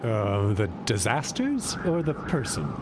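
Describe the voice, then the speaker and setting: An actor playing an amused, urbane Greek god posing as a stranger in an audio drama, drawing out words in a coy, theatrical way. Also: male voice, wildly swooping pitch. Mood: amused, intrigued. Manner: theatrical, drawn-out, coy delivery. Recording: loud outdoor ambience and rumble.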